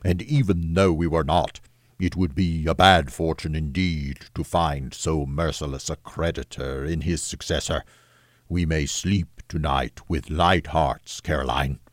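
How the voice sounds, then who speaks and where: A man voicing a poor husband who speaks calmly and reassuringly. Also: extremely low pitch, unhurried, no room sound, clean studio sound, pitched below the performer's own voice. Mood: relieved. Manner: calm, reassuring. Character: relieved poor husband, calm and low.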